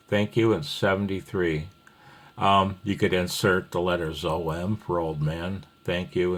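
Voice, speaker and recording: A man's voice: sits low, husky. A man giving an informal, rambling tutorial as a hobbyist instructor, folksy and apologetic as he thinks aloud. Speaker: amateur radio hobbyist teaching Morse code.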